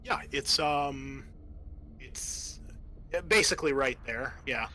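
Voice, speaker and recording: A man's voice: mid-range voice, slow, sharp tone, a little hiss or hum. A man narrating a tabletop roleplaying game as game master, describing scenes in an informal, improvised, hesitant way.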